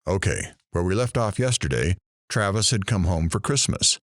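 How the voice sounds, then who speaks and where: Man acting as a wry, deep-voiced radio host. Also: normal speed, dry recording, studio quality. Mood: wry, mock-sincere. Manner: deadpan, mock-sincere. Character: wry, theatrical male radio morning-show host. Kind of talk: comic radio show patter.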